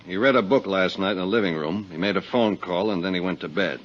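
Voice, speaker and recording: An American actor playing a weary, blunt private detective and loyal pal in a 1950s radio drama, grumbling with exasperation. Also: male voice, low voice, fast. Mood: matter-of-fact. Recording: dull 1950s radio recording.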